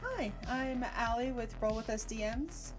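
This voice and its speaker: A woman's voice: on the high side, expressive, measured pace, music in the background. A woman game master chatting casually on a tabletop game stream.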